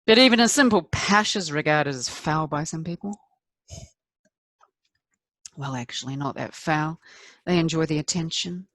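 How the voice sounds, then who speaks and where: A middle-aged woman's slightly low voice, close and dry, an actress delivering a confessional theatrical monologue. She is a candid, rueful wife, wry and self-deprecating, and leans on deliberate pauses for emphasis. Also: a bit bright, dry recording. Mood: confiding, defensive. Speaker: performer of a dramatic monologue.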